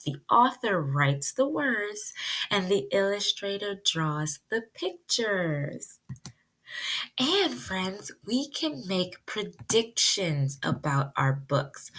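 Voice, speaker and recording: Slow-paced woman teaching preschoolers. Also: medium pitch, fairly bright tone, poor audio. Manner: simple, deliberate phrasing with questions to children. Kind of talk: virtual read-aloud lesson for young children.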